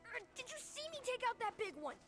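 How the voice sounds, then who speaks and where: A woman voicing a boastful, scrappy young boy who is eager to fight, in an animated fantasy game story. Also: very high-pitched, fast talker. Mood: indignant, excited. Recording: loud music over the voice.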